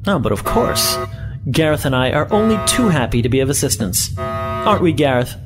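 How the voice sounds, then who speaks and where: Actor as pompous scheming professor over loud music. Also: male voice, a little high, big pitch swings, moderate pace. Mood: feigned innocence. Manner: pompous, formal, theatrical diction. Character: scheming, self-important male professor, smoothly feigning innocence. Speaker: actor in an audio drama. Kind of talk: science fiction audio drama acting.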